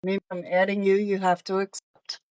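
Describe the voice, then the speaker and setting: A woman hosting a live craft stream, chatting casually with viewers and a guest, halting often with fillers. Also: mid-range voice, monotone, a little mellow, smooth, muffled recording.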